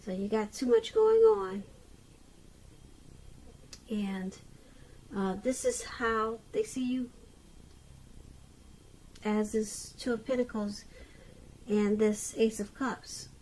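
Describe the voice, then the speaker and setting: Slow-paced woman giving a tarot reading. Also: fairly high pitch, animated, mellow, slight background noise, rough recording. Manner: halting delivery, pauses mid-sentence and repeats phrases. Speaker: tarot reader. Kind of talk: unscripted tarot love reading addressed to the viewer.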